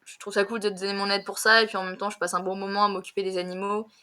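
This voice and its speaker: Giggly fast-talking young woman tutor. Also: mid-range voice, level tone, pushed and bright. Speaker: French conversation tutor. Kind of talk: casual conversation lesson.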